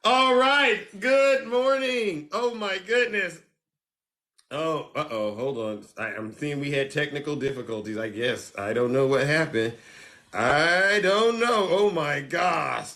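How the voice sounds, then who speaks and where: A man's voice: sits high, measured pace. A man hosting a live call-in stream, teasing his callers in a playful way. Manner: playful, teasing, swings between loud and soft. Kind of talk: casual live call-in chat.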